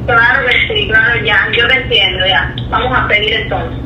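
A woman's voice: fairly high pitch, moderate pace, muffled recording, background noise. A woman on a speakerphone call, answering compliantly and mostly briefly. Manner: compliant, short replies. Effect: telephone on speaker. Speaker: prank call victim. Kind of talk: phone conversation. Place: heard through a phone on a table. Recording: distant speakerphone call in echoey room.

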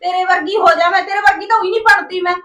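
A woman's voice: very high voice, steady tone, very fast, bright, forceful tone, roomy sound, soft background music. A woman playing an indignant hardworking village girl. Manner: indignant, retorting. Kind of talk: amateur comedy skit acting.

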